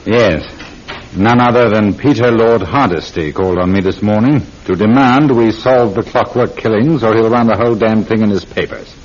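An actor playing a dry, weary, authoritative police inspector in a radio drama, clipped and sardonic. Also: male voice, lowish voice, normal speed, natural voice. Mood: brusque, dry. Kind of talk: old-time radio drama acting. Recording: dull old radio recording.

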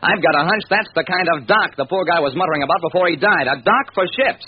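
Driven police detective narrator, fast and wry, vintage radio crime serial with heavy hiss. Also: male voice, sits high, expressive. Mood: insistent. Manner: hard-boiled, wry. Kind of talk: old-time radio crime drama narration. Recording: dull, noisy vintage radio recording.

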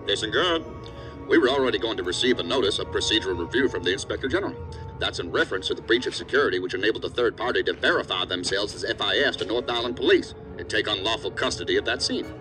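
A man's voice: fairly low pitch, animated, fast, music in the background, lightly acted, rough recording. A man playing an angry, threatening assistant director in a science fiction audio drama, stern and blunt. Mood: stern, exasperated.

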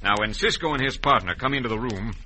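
Shrewd radio western rancher villain, American western accent, animated and authoritative, on a noisy vintage recording. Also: male voice, mid-range voice, fast. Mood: commanding. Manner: folksy, sly, authoritative. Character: shrewd, smooth-talking cattle rancher villain, commanding gang boss. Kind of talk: old-time radio western acting.

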